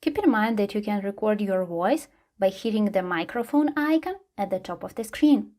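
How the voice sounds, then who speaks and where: A woman hosting a tutorial, reading scripted step-by-step how-to narration at a medium pitch. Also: expressive, moderate pace, darkish tone. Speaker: tutorial host.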